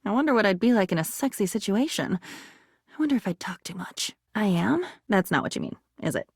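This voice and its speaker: A woman's voice: mid-range voice, animated, brisk, husky, no echo, clean studio sound. A woman voicing a sweet, naive and chatty living diary in a video game, rambling with wide-eyed wonder. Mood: flirty, musing. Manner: breathless rambling, wide-eyed, swings from hushed to gushing.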